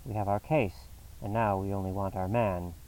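A man reading a crisp, measured detective. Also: lowish voice, steady tone, mellow, far from the mic, lightly acted, poor audio. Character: cool, incisive male detective.

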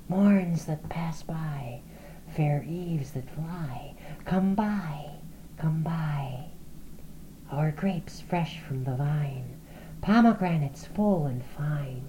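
A woman voicing a chorus of sly male goblin merchants in a hushed, coaxing singsong. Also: low-pitched, slight background noise, voice pushed up, poor audio.

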